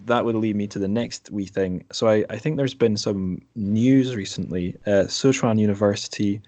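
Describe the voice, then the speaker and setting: Low, mellow male podcast host. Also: moderate pace, dry recording. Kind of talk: casual interview conversation.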